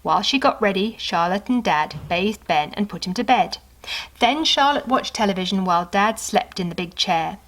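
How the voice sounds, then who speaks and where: Expressive woman reading a children's story. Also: medium pitch, rough recording. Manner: expressive, conversational, unhurried. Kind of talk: children's story read aloud with asides to the listener.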